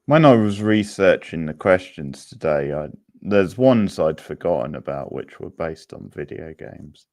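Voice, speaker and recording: A man hosting a livestream quiz, chatting casually in an unhurried, rambling way as he replies to viewers. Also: rumbling low, expressive, darkish tone, dry recording, clean studio sound.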